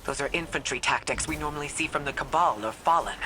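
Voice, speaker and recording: An actress playing a steady, commanding military leader in a video game, composed and authoritative. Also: female voice, low voice, fast talker. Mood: urgent, commanding. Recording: noisy game ambience behind the voice.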